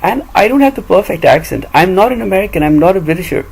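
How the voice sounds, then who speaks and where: A man with a Pakistani English accent, speaking in a blunt, combative personal monologue. His voice is gravelly and husky. He hammers his points home with repetition. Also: sits high, animated, fast, low-quality recording. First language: Urdu. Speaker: voice-over reader and vlogger.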